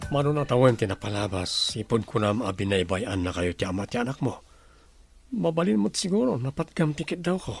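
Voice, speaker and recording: A man playing a gentle, devoted suitor with a husky voice in an Ilocano audio drama. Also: mid-range voice, sing-song, fairly quick, soft background music. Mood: sincere, tender.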